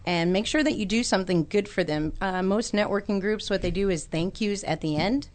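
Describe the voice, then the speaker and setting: A woman's voice: medium pitch, fairly quick. A woman guest on a radio talk show, joking, bold and cheeky while sharing business tips. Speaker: guest entrepreneur. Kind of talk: casual radio interview.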